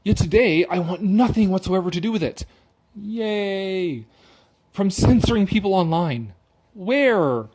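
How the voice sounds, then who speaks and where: A man's voice: sits high, lively intonation, unhurried, noisy background. A man doing a sarcastic dramatic reading, breaking off for casual, opinionated and mocking commentary with some swearing. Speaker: commentator reading an article aloud with his own remarks.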